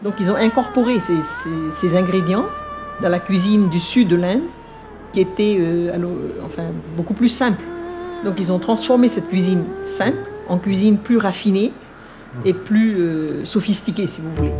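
An older woman whose first language is Vietnamese, speaking in a sit-down interview in a living room, measured and thoughtful with frequent pauses. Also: medium pitch, over music. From India. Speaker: cookbook author.

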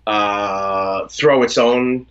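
A man's voice: lowish voice, drawn-out words. Man telling stories over a dull video call. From United States, Washington DC area. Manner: relaxed storyteller, laughs easily. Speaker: guest rapper. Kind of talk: casual interview on a video call. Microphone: video call from a phone or laptop.

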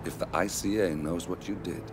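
An actor playing a wry, rugged rebel comrade in a video game, with a dry conversational manner. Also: male voice, low voice, steady tone, a little fast, music in the background, close to an everyday voice. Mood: earnest. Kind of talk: video game cutscene acting.

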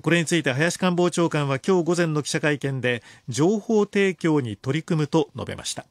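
A man reading scripted news in a formal, measured way. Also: fairly high pitch, expressive, no room sound, studio quality. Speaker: newsreader.

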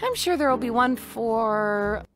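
An actress playing a kind, worried, earnest young rag doll in an animated series. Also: female voice, on the high side, unhurried. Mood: friendly, unsure. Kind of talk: animated web series acting.

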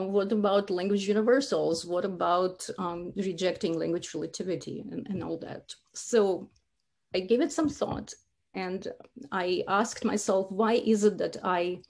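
Earnest woman, halting. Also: mid-range voice, darkish tone. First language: Russian. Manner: earnest, halting, with many restarts. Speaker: linguistics speaker. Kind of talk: academic talk over slides.

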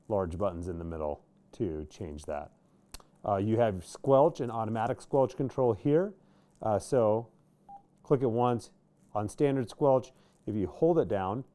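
Low, mellow American man presenting gear. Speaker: product review presenter. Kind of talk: casual product demonstration. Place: small indoor workshop studio.

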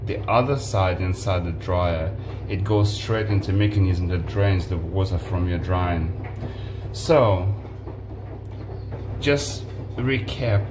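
A man in his forties giving a casual, unhurried how-to demonstration, with halting restarts, filmed on a phone at arm's length in a small laundry room. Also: lowish voice. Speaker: home appliance video maker. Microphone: phone camera on selfie stick at arm's length. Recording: echoey room with washing machine noise.

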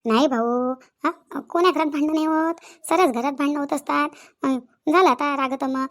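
A woman's voice: high voice, moderate pace, a bit bright. A woman playing insistent, talkative village women in a comedy cartoon, with a rural Vidarbha Maharashtrian accent and a habit of repeating phrases. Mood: placating. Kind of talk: Marathi comedy cartoon dialogue.

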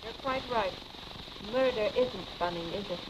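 An actress playing a cool, sardonic young wealthy wife, in dry, arch 1940s Hollywood film style. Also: female voice, medium pitch, normal speed, background noise, lightly acted. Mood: icy, sardonic. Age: young adult. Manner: arch, dry, clipped irony. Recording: old film soundtrack, music behind.

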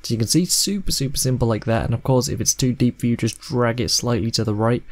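A man in his twenties narrating a step-by-step software tutorial in a casual, instructional way. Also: mid-range voice, quick pace, dry recording. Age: 20s. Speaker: host of video editing tutorials.

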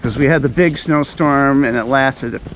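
A middle-aged man talks casually as the host of a hiking vlog. His voice sits at an ordinary male pitch. It is recorded outdoors on the move, with some ambient noise around him. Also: normal speed. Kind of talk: casual chat while walking. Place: outdoors on a snowy woodland trail. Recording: noisy outdoor recording, walking on snowy trail.

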